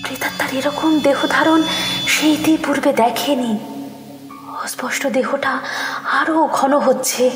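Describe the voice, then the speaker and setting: A woman narrating a horror audio story in an expressive, suspenseful way, sometimes dropping to a whisper. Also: sits high, unhurried, long pauses. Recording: echoey reverberant studio mix with music.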